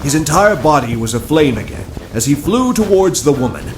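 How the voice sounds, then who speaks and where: A man's voice: on the high side, sing-song, fairly quick, noisy background. A man giving dramatic scripted narration for an audio drama. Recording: loud music behind.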